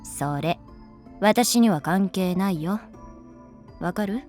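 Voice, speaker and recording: A woman's voice: medium pitch, takes it slowly, a little mellow, faint music behind, close to an everyday voice. A woman playing a pragmatic, teasing female schoolteacher in a Japanese audio drama, moving between polite firmness and casual bluntness. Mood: cool, stern.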